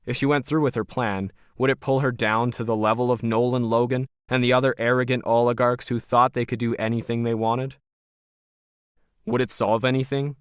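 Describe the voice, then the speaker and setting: A man reads scripted fiction as a narrator. His voice sits at a medium pitch, captured close in a dry space with very little room sound. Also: flat delivery, moderate pace, clear, resonant tone, no echo.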